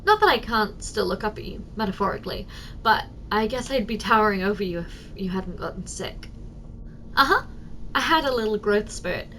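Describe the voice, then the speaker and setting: A woman playing a giant, doting, teasing office secretary in an ASMR roleplay, speaking softly and coaxingly straight to the listener. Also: medium pitch, animated, moderate pace, a little hiss or hum, low-quality recording. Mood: playful, curious. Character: giant, doting, teasing female office secretary, tender toward tiny boss.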